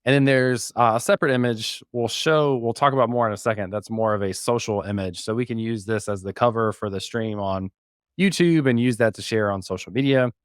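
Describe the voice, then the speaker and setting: A man's voice: on the low side, fairly quick, smooth, no room sound, clean studio sound. A man, a podcast co-host, casually talking through a walkthrough in a conversational way. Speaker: podcast co-host, guest on the show.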